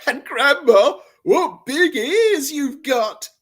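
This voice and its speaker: A woman's voice: high voice, expressive, takes it slowly, a bit bright, pitched above the performer's own voice, heavily acted. A woman doing a nasty, snarling bratty girl. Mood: mocking.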